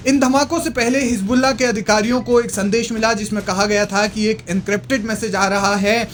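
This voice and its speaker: A man's voice: high voice, steady tone, fairly quick, fairly bright tone, slight background noise. A man presenting scripted news narration in an emphatic, explanatory way. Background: background music.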